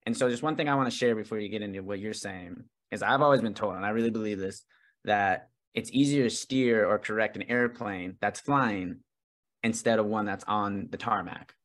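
A man with a lowish voice hosts a podcast interview, affirming his guest and steering the talk from topic to topic. He sounds encouraging and moves things along. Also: a little fast, a bit bright. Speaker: podcast host. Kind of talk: interview conversation.

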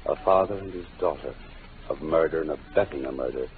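A deep-voiced hard-boiled detective. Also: male voice, a little fast, slight background noise. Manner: dry, clipped, confident. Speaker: lead of a radio mystery. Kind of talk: 1940s radio acting. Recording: tinny old radio broadcast with music.

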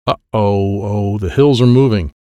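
A man voicing an excitable, anxious little boy who tells his own adventure in simple, breathless phrasing, as dramatic audiobook narration. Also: fairly low pitch, measured pace, dry recording, lightly acted. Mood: nervous excitement. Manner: simple, breathless present-tense childlike phrasing.

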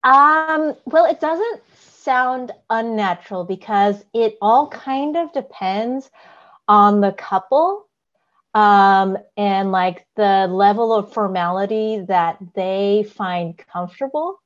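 A woman's voice: medium pitch, takes it slowly, lo-fi sound. A woman co-hosting a podcast, explaining things carefully in casual chat, with frequent "um"s and a giggly manner. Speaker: podcast co-host.

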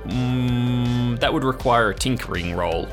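A man's voice: mid-range voice, a little slow. A man running an improvised audio drama game as game master, narrating in a suspenseful, descriptive way. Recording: music playing behind the voice. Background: music.

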